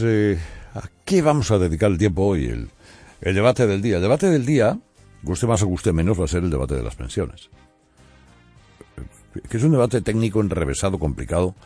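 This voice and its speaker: A man's voice: low-pitched, dark tone, husky. A middle-aged man hosting a morning news show, giving opinionated commentary and addressing listeners directly. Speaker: radio morning show host.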